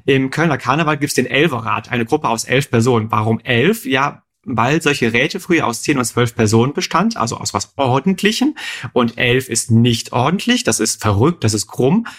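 Ein Mann aus dem Rheinland, der als Co-Moderator im Podcast locker etwas erklärt und seinem Gesprächspartner geduldig Rechenfragen stellt. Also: male voice, mid-range voice, animated, normal speed, fairly bright tone. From Rhineland, Germany. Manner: patient, quizzes his co-host with questions. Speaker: podcast co-host explaining numbers. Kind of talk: explanatory conversational chat.

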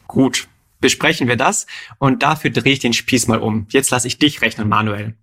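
Ein Mann aus dem Rheinland, der als Co-Moderator im Podcast locker etwas erklärt und seinem Gesprächspartner geduldig Rechenfragen stellt. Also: male voice, mid-range voice, normal speed, sharp tone, breathy edge. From Rhineland, Germany. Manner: patient, quizzes his co-host with questions. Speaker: podcast co-host explaining numbers. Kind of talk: explanatory conversational chat.

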